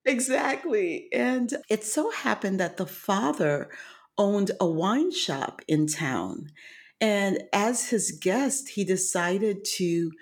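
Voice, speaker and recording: A middle-aged woman who grew up between Haiti and Chicago, a wine educator telling her story as a podcast guest with many fillers and pauses. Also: mid-range voice, animated. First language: French. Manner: reflective storytelling with frequent fillers. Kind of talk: interview.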